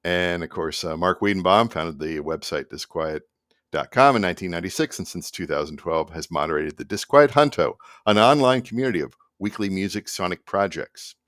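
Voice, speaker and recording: A middle-aged man with a low voice chats through a behind-the-scenes music vlog. His delivery is loose and rambling, easygoing throughout. He is recorded indoors with a dry, close sound. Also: fairly quick, a bit bright, no echo. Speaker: musician presenting own project. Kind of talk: casual behind-the-scenes vlog talk to camera. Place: small indoor home room at a computer.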